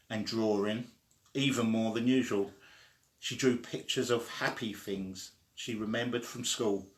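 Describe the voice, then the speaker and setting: A man reading a children's story aloud in an expressive way, sometimes stumbling and starting again. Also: mid-range voice. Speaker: story reader. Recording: echoey room.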